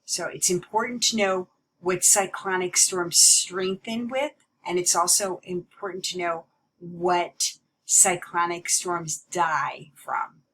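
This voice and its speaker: A woman giving an explanatory lecture as a teacher, in a deliberate, emphatic way that repeats key points. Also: on the low side, fairly even intonation, fairly bright tone, slight background noise, poor audio. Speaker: meteorology teacher.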